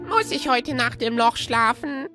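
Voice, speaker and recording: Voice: mid-range voice, unhurried, pushed and bright, soft background music. A woman voicing a timid little pet monster in a cartoon fandub, pleading and childlike.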